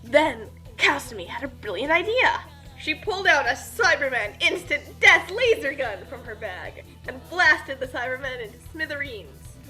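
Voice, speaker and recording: A woman narrates a comic adventure story with mock-epic flair. Her voice is high and very animated, with a bright edge, and music plays behind. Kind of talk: animated comic reading of a scripted fan fiction story.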